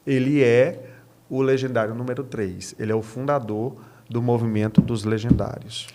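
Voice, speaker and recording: A man's voice: mid-range voice, steady tone, moderate pace, warm tone. Brazilian man explaining scripture. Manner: explanatory, asks and answers own questions, repeats key phrases. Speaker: podcast guest, pastor. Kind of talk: conversational religious teaching.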